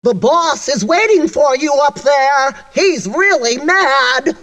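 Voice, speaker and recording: A man's voice: very high voice, lively intonation, slow, pushed and bright, full character voice. A man voicing a timid, furtive gnome servant in a cartoon game, nervously giving conspiratorial cautions. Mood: urgent warning. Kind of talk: cartoon video game character acting.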